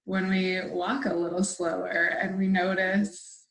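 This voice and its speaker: A woman's voice: lowish voice, flat delivery, unhurried, a bit bright. A woman hosting an interview as a casual chat, musing informally and affirming what she hears. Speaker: interviewer, host. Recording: echoey room sound.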